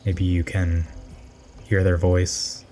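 A man narrating a guided meditation in a gentle, unhurried instructional manner, letting his phrases fall softly at the end. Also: deep voice, completely flat delivery, slight background noise.

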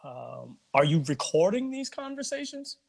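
A middle-aged man from Barbados hosts a loose conversation. He talks in an animated way, jumps in eagerly, and often starts his sentences over. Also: on the high side, normal speed, creaky. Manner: animated, interjecting, with restarts. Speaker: interview host. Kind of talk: casual interview chat.

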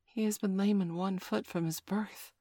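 A woman voicing a calm, wry mother wolf who speaks quietly, often half whispering. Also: mid-range voice, moderate pace, no room sound, clean studio sound, voice pushed up, close to an everyday voice. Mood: grim.

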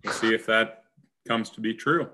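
A man's voice: lowish voice, moderate pace, pushed and bright, a little hiss or hum. A man co-hosting a podcast with a dry, self-deprecating sense of humor. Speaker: podcast co-host. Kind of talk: casual podcast chat.

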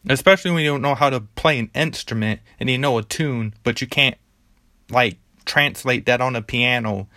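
Male guest, casual, hesitant, bright mid voice, dry recording. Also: medium pitch, moderate pace, dry recording. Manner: hesitant, searching for words. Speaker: podcast guest. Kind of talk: casual chat.

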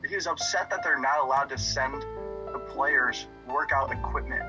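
A man chatting casually on a podcast, rambling through thoughts with frequent restarts and filler words. His voice is mid-pitched and sits on a slightly dull, lo-fi video-call line. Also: normal speed, music in the background. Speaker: podcast co-host.

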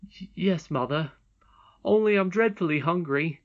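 A woman's voice: fairly low pitch, unhurried, highs cut off, pitched above the performer's own voice, close to an everyday voice. A woman voicing a brave, humble young miner boy in a storybook, frank, earnest and emphatic. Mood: hungry, insistent.

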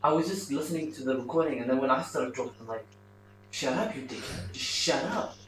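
Teenage boy, Australian accent with South African tinge, blunt, distant mic, roomy, loud crowd. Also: mid-range voice, animated, fairly quick. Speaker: podcast co-host. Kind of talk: casual chat.

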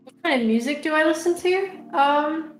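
A woman's voice: high-pitched, normal speed. A young woman from South Africa with an Australian accent, chatting casually as a podcast guest, giggling and rambling with lots of fillers. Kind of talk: casual chat. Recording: echoey room, faint music behind.

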